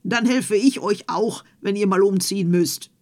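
A woman's voice: mid-range voice, a little slow, darkish tone, lightly acted. A woman voicing a male goblin leader in a fairy tale, speaking in an eager, pleading tone.

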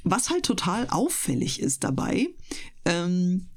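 A woman's voice: fairly low pitch, very animated, slow, slightly rough. A woman chatting casually as an interview guest, explaining things at length in a talkative, storytelling way. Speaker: interview guest, voice performer and live reader.

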